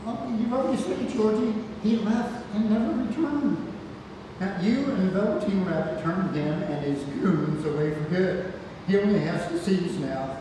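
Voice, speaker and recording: A man's voice: high voice, moderate pace, natural voice. A man at a play table read, playing a wry, playful old grandfather who is frail but feisty. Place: large indoor theatre room, far microphone. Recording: distant, echoey hall, noisy room recording.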